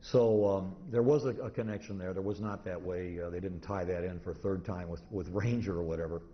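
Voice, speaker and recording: A man giving an informal, rambling lecture to an audience, halting and restarting often as he goes. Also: deep voice, fairly even intonation. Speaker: convention presenter, radio historian. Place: indoor convention talk room. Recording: muffled, noisy live convention room recording.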